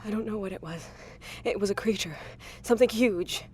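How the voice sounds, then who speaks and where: A woman's voice: mid-range voice, a little fast, heavy background noise, lightly acted. An actress playing a weary, shaken woman survivor in an apocalyptic audio drama, with a blunt, wry way of talking. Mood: shocked.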